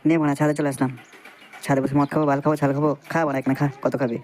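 A quick, somewhat high cartoon voice of a foul-mouthed young fellow , acted by a man. He is blustery yet wary, repeats his slangy phrases, and has music playing behind him. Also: flat delivery, darkish tone, lightly acted. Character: generous but wary, foul-mouthed young male buddy. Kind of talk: crude comedy cartoon dubbing.

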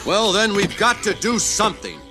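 An actor playing a pompous, earnest toy spaceman who speaks formally, like a hero in an animated film. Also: high voice, normal speed. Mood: determined. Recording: music loud behind the voice. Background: loud music.

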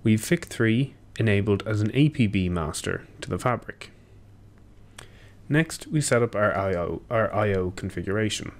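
Young man calmly explaining technical setup. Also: fairly low pitch, normal speed. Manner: steady explanatory pace with occasional um and self-corrections. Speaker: engineer presenting a tutorial. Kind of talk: technical walkthrough over screen share. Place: small indoor room.

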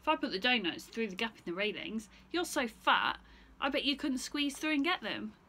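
A woman's voice: fairly high pitch, very animated, moderate pace, bright, forceful tone, full character voice. A woman voicing a clever, cheeky young boy in a children's storybook, taunting and daring.

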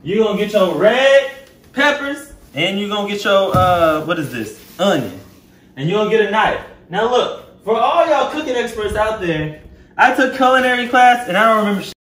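Sassy high-voiced young Southern man. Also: normal speed. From Louisiana, United States. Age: 20s. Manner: playful, sassy, animated, slangy. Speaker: host of a home cooking vlog. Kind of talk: casual cooking demonstration, chatty to viewers. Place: indoors in a home kitchen. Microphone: camera a step or two away. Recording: echoey kitchen, some background noise.

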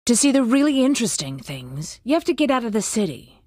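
An actress playing a calm, thoughtful female survivalist hunter and soldier, deliberate and composed, in video game voice lines. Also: fairly high pitch, no room sound, studio quality.

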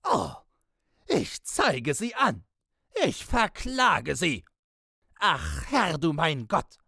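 An indignant man in a literary audiobook, raging helplessly. His voice is pushed far up high and strained rough, bellowing one exclamation and insult after another. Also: huge pitch swings, very slow, bright, forceful tone, no echo, studio quality, full character voice. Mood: screaming rage. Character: enraged, indignant man screaming helpless curses. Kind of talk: dramatised literary storytelling.

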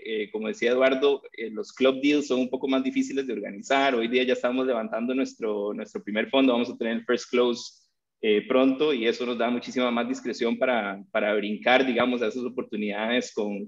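Mid-pitched man on muffled call audio. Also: fairly even intonation, brisk. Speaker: investor, panelist. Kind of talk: casual unscripted panel talk. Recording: dull video-call audio.

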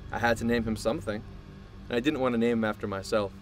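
A man's voice: mid-range voice, fairly even intonation, quick pace, natural voice. Man playing a grandiose visionary scientist. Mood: proudly demonstrating. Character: visionary, obsessive male scientist, proud creator of android. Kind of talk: audio drama acting. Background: music.

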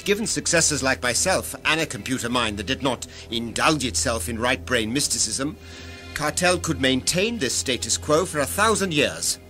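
A man's voice: medium pitch, expressive, moderate pace, sharp tone. A man acts out a ruthless, ambitious director in a sci-fi audio drama. His delivery is grandiose and commanding, rising and falling widely. Music plays behind him. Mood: grandiose, driven. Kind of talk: science-fiction audio drama acting.

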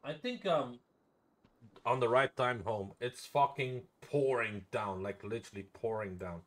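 A man's voice: medium pitch, measured pace. A man chatting casually while streaming a game, swearing freely and repeating phrases playfully. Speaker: live streamer playing a roleplay game.

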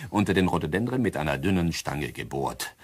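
An actor playing a shrewd, self-satisfied older doctor in a radio crime play, with a husky voice and deliberate, dry explanations. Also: male voice, low voice, animated. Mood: sly, confessional.

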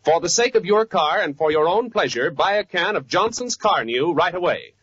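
A man's voice: high voice, animated, moderate pace, a bit bright, breathy edge. An American man delivering a scripted commercial as a 1940s radio announcer. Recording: muffled, dry 1940s radio recording.

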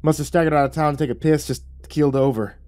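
A man's voice: fairly high pitch, steady tone, fast, darkish tone, pitched above the performer's own voice, lightly acted. A weary male protagonist as a narrator acts him out, sour and sardonic. He mutters dryly or snaps back with defiance, in the manner of a fantasy storybook hero. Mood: sour, defiant.

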